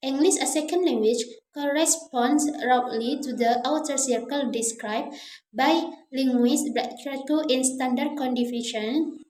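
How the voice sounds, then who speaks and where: A woman's voice: high voice, a little mellow. A young woman reading her class presentation aloud from notes, slipping into now and then, recorded on a phone indoors. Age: young adult. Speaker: student presenter. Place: small indoor room. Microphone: phone camera at close range. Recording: echoey room, faint noise.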